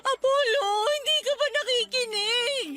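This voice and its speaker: A woman voicing a gravely ill young woman who tearfully pushes her partner away in a radio drama. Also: very high voice, unhurried, pushed and bright, soft background music, full character voice. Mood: sobbing anguish.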